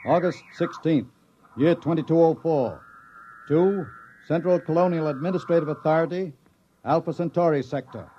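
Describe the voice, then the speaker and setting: A man's voice: fairly high pitch, moderate pace, warm tone, lightly acted. Man acting a terse official, muffled voice. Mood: businesslike. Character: formal colonial inspector dictating an official report. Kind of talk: 1950s radio acting. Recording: muffled old broadcast with noise behind.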